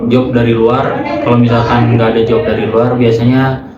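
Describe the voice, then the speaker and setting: A man's voice: mid-range voice, level tone, normal speed, music in the background. A man in his early twenties giving hesitant, casual answers as an interviewee. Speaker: interviewee in a group discussion. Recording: distant, echoey, dull noisy recording.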